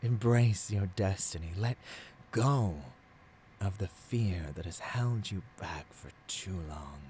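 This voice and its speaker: A man's voice: low-pitched, slow, a little mellow, a little hiss or hum. A man playing a seductive villain tempting a hero in a scripted ASMR roleplay, speaking intimately and theatrically and often dropping toward a whisper. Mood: soothing, seductive.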